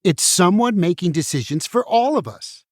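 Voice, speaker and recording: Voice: medium pitch, lively intonation, moderate pace, dry recording, studio quality, close to an everyday voice. A performer playing an alarmed, bitter banned book in a musical puppet show, with dramatic, urgent, declamatory delivery. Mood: resigned, bitter. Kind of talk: theatrical musical puppet show acting with singing.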